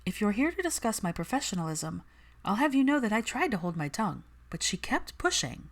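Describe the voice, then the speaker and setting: Woman acting a young witch, earnest, defensive, firm, very animated pitch, audiobook dialogue. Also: fairly quick. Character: earnest, defensive, strong-willed young witch, book-loving.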